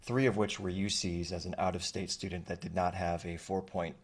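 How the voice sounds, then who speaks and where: A man in his forties who grew up in Thailand tells his story as a guest. He talks in a low voice, reflective and halting, with frequent fillers. He is heard over a video call. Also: little pitch movement, slightly rough. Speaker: actor guest. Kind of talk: conversational interview.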